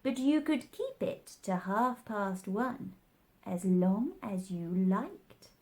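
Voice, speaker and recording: A woman's voice: medium pitch, animated, slow pace, warm tone. Lady doing a snooty nutty man from a kids book, drags words out, goes up and down loads. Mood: knowing, eccentric. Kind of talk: children's storybook character voice.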